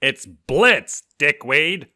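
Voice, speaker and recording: A man acts out a cocky male imp from an adult cartoon. He is loud and gruff, pitched above a low narrating voice, with a crude, brash temper and very lively delivery. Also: extremely slow, no echo, studio quality, heavily acted. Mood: irritated. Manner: loud, gruff, swearing and teasing.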